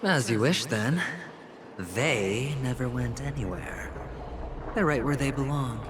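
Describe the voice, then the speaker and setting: Calm wry young gambler, easy middle pitch. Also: male voice, slow, background noise. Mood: cool. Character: cool, sly young male gambler.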